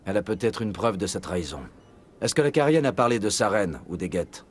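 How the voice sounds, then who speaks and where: An actor playing a resolute, no-nonsense military commander in a video game, terse and businesslike. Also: male voice, fairly low pitch, animated, a little fast.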